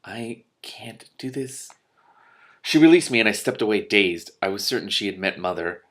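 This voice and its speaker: A man with a fairly low voice reads fiction aloud in an expressive audiobook style. He hosts the reading himself and reacts to the text in a dramatic, unscripted way. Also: normal speed. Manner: dramatic, reacts in whispers and exclamations. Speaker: host reading a novel aloud with commentary.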